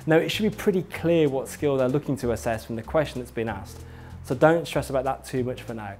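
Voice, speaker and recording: A man narrates an instructional film in a medium-pitched voice. He explains each point with clear emphasis and encouragement, speaking straight to the learner. Faint music plays behind him. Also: fairly quick, a little mellow. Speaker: instructor, presenter. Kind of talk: scripted instructional narration addressed to viewer.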